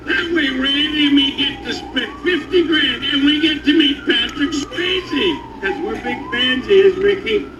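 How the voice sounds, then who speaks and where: Male actor as brash comedy cop, extremely high voice, flippant bargaining, echoey and distant with noise. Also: animated, a little slow, hardly any pauses, heavily acted. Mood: weary, brash. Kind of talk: comedic screen dialogue.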